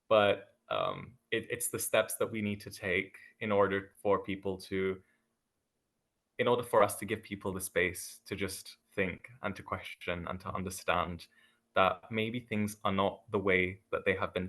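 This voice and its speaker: A young man takes part in a panel talk, speaking in a thoughtful, measured way and pausing often on "um." His voice sits somewhat low. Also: a bit bright. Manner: thoughtful, measured, frequent ums. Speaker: panel guest. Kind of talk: casual panel discussion.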